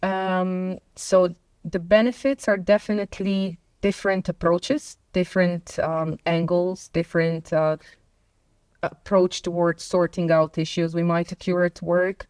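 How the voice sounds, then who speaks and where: A woman from Croatia and Bosnia, a guest on a company talk show, speaking in unhurried English with many fillers. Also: fairly low pitch, flat delivery, a little mellow, smooth, dry recording. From Croatia and Bosnia and Herzegovina. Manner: hesitant, many fillers, laughs between points. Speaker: podcast guest. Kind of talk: casual conversation.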